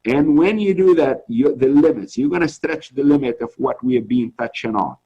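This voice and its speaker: A man's voice: medium pitch, lively intonation, normal speed, breathy edge, muffled recording. A middle-aged man from Tunisia, a journal editor, giving an earnest, encouraging webinar talk with many restarts over a video call. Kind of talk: informal lecture.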